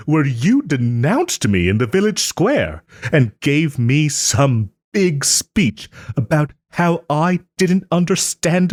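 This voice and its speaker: A man playing a haughty but tender wronged nobleman in a romantic audio roleplay, speaking theatrically with formal, old-fashioned phrasing and hesitant pauses. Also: mid-range voice, a little mellow, no echo, clean studio sound. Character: wronged young nobleman, haughty, wounded, still tenderly caring.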